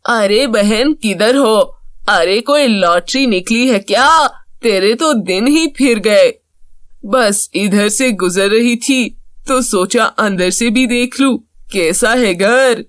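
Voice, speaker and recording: A woman's voice: on the high side, takes it slowly, no echo, clean studio sound. A woman voicing a cunning, nosy, greedy neighbour in a children's cartoon, prying and exclaiming in an exaggerated way. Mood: nosy, sly. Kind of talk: Hindi children's cartoon voice acting.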